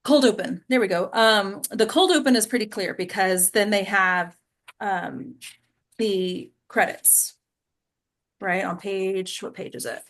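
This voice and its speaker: A woman leads a small class in an informal, conversational way. Her voice sits at an average pitch, and she regularly turns her explanations into short check-in questions. She sounds like a teacher hosting a discussion. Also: unhurried, fairly bright tone. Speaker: acting class teacher and host. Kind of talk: casual teaching talk to a small group.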